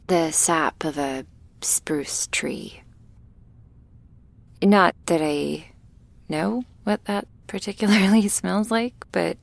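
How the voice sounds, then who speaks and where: A woman playing a shy, awkward young female vampire in an intimate ASMR roleplay, speaking softly and hesitantly, often close to a whisper. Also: mid-range voice, vocal fry, no room sound, full character voice. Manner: hesitant, halting, soft, often near a whisper.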